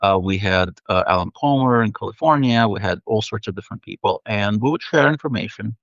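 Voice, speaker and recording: A man's voice: lowish voice, fairly quick, creaky, fairly bright tone, dry recording, dull audio. A man whose first language is Russian, from the Soviet Union, a guest chatting thoughtfully on a video call with many fillers and restarts. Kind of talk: casual interview chat.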